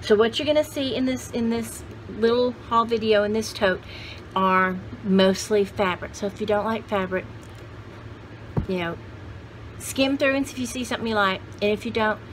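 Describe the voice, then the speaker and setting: A woman's voice: mid-range voice, normal speed, a little hiss or hum, low-quality recording. A woman in her sixties chatting casually as a vlog host, with a folksy, jokey, rambling manner. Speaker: host of a vintage haul video channel. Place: indoor home studio room with shelves.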